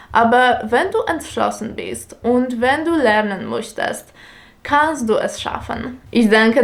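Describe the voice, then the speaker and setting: Slow, careful woman with a Polish accent. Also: fairly high pitch. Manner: slow, careful, deliberate delivery with small grammar slips. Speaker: language teacher. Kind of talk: explaining a lesson in learner German.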